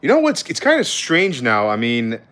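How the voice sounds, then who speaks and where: A man's voice: mid-range voice, wildly swooping pitch, moderate pace, fairly bright tone. A man with an American accent chatting casually as a streamer, answering questions in a blunt, slangy, conversational way. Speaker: streamer, content creator. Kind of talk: casual livestream chat, answering viewer questions.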